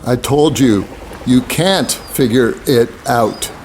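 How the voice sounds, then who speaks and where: A man's voice: medium pitch, slow pace, darkish tone, slight background noise, poor audio. An actor playing a cryptic, impatient boatman in an audio drama, blunt and goading as he gives riddling counsel. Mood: insistent.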